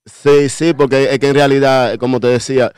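A man's voice: medium pitch, level tone, normal speed, a little mellow, clean tone, no echo. A Dominican man in his thirties, a guest artist chatting humbly in a radio studio, with lots of fillers and restarts. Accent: Dominican Spanish. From Dominican Republic. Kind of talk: casual interview chat. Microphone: desk mic with headphones.

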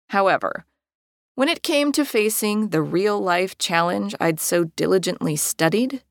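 A middle-aged American woman reading her own personal memoir as an audiobook in a measured, articulate way. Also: medium pitch, dry recording, clean studio sound. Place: dry recording studio.

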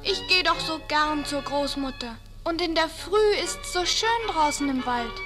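A sweet, innocent little girl in a storybook audio play. The voice is extremely high, cheerful and naively earnest. Music plays clearly behind it. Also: unhurried. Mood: eager, dutiful. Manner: bright-eyed, earnest, naive delivery. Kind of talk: fairy-tale audio play acting.

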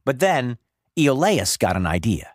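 A man's voice: mid-range voice, very animated, measured pace, no echo, studio quality. A man narrating a story for children as a podcast host, playful and wry, with dramatic emphasis. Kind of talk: animated storytelling narration of Greek myths for children.

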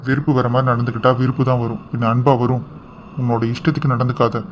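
Fast-talking stern young husband played by a man. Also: mid-range voice, flat delivery, natural voice. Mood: bitter, disappointed. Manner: stern, reproving. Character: stern, disappointed young husband, protective of the household maid. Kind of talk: family melodrama acting. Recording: dull sound with faint background noise.

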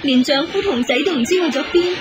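An actress playing a poor, dutiful wife turned maid in a radio drama, deferential and plaintive. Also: female voice, sits high, animated, fast talker. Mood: plaintive, grateful. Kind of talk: Cantonese radio drama acting. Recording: music behind the dialogue.

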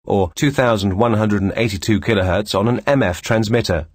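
Male instructional narrator, lower register, measured formal delivery, very dry close studio sound. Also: dry recording.

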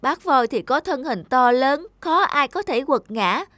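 A woman's voice: high-pitched, lively intonation, measured pace, fairly bright tone, no echo. A woman voicing a small, timid and envious rabbit in a children's cartoon, whiny and full of exclamations. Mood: envious, plaintive. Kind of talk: animated children's fairy tale acting.

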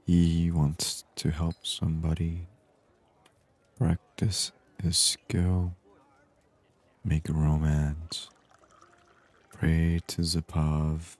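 Very deep, soft-spoken male ASMR gamer. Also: completely flat delivery. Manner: murmured, unhurried, reading on-screen text aloud. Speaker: gaming channel host.

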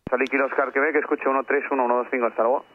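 Male air traffic controller, very fast clipped phraseology, thin phone-like radio sound. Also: medium pitch, flat delivery. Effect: aviation radio. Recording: narrow, muffled aviation radio link.

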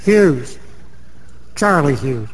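A middle-aged night clerk in a radio play, his voice on the high side, over faint background noise. He is weary and polite, answering with absent-minded, detached deference. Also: big pitch swings, drawn-out words, heavily acted. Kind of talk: 1990s radio drama acting.

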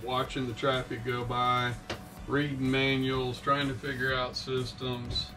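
A man's voice: mid-range voice, little pitch movement, a little slow, distant mic, over music. A middle-aged man casually recounting a sailing trip as a vlog host, recorded in a small boat cabin. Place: small wood-panelled sailboat cabin.

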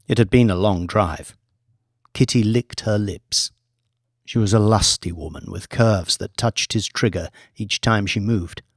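A man reading a scripted audiobook story in a measured, deliberate storytelling manner. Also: lowish voice, no room sound, studio quality. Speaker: audiobook narrator, author reading own book.

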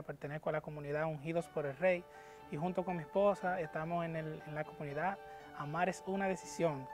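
Dominican man in his thirties, fairly high voice. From Dominican Republic. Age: 30s. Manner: hesitant, many fillers and restarts. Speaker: guest. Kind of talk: personal testimony to camera. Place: TV studio, dark backdrop. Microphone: lapel mic.

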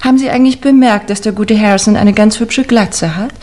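A woman plays a poised society lady in a vintage radio mystery. Her voice is medium in pitch, with a light, chatty, gossipy delivery. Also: a little fast, a little mellow, close to an everyday voice. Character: poised, chatty upper-class niece of a lord. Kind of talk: 1950s German radio crime play acting.

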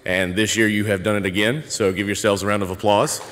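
Low-voiced man announcing in a ballroom. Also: level tone, brisk, very noisy. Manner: casual, filler-heavy announcing. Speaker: conference organizer introducing the speaker. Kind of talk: event announcements and introduction. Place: large hotel ballroom. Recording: long hall reverb from large room.

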